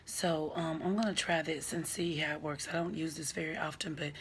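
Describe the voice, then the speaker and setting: A hoarse woman in her forties talking bluntly and sassily to viewers on a phone live stream, giving dating advice. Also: sits low, fairly even intonation, fairly quick. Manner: blunt, sassy, talks straight to viewers.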